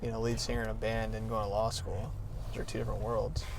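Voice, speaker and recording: A man's voice: fairly low pitch, level tone, fast, vocal fry, background noise. A man co-hosting a podcast, a lawyer asking his partner curious, prompting questions. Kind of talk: interviewing his co-host.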